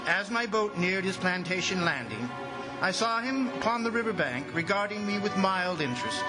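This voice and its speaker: A man's voice: high-pitched, rough recording. A man playing an earnest district commissioner in a radio drama, speaking with emphatic, theatrical gravity. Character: earnest, alarmed male district commissioner, grave storyteller. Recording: radio drama with loud music underneath.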